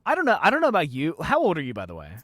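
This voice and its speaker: A man's voice: fairly high pitch, huge pitch swings, racing, fairly bright tone, dry recording, clean studio sound. A man in his thirties hosting a podcast, chatting casually, laughing often and swearing freely. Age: 30s. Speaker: podcast host. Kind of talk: casual chat.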